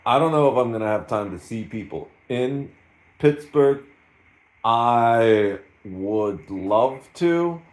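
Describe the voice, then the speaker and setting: A man's voice: lowish voice, a little mellow, poor audio. A man in his thirties talking in a rambling, self-mocking way in a casual solo vlog. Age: 30s. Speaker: streamer.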